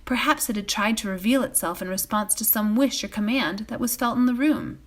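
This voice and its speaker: A woman reading a bedtime fantasy novel aloud as a volunteer, in an expressive storytelling style. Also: on the high side, a little fast.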